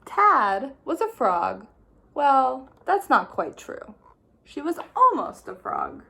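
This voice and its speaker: A woman teacher reading a children's picture book aloud with expressive, playful storytelling. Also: high voice, takes it slowly, vocal fry.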